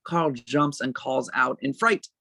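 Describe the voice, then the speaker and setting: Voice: mid-range voice, measured pace, vocal fry, pushed and bright, no room sound, clean studio sound. A narrator reading screenplay stage directions aloud for a table read in a plain, descriptive manner.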